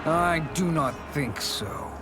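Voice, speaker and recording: An actor playing an arrogant, scheming sorcerer in a video game cutscene, speaking in a haughty, formal manner over music. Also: male voice, on the high side, slow, heavy background noise. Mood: menacing, defiant.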